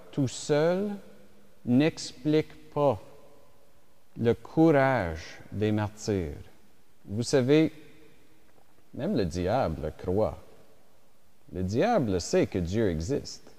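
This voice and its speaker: English-speaking bishop preaching slow in a big echoey church, lowish mellow voice. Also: male voice. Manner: slow, reflective preaching with pauses and fillers. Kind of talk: homily at mass. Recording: reverberant church hall.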